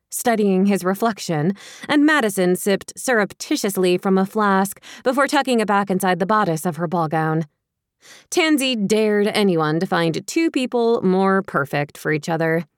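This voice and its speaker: Female audiobook narrator for light romantic fiction, mid-range voice, wry and expressive, very dry recording. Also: medium pitch, dry recording.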